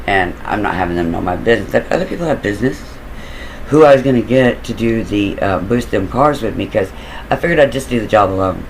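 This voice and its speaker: A man with a deep voice telling a long story in a loose, casual, rambling way, with fillers and the odd curse. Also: lively intonation, fast talker, a little hiss or hum, rough recording. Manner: loose and digressive, with fillers and occasional swearing.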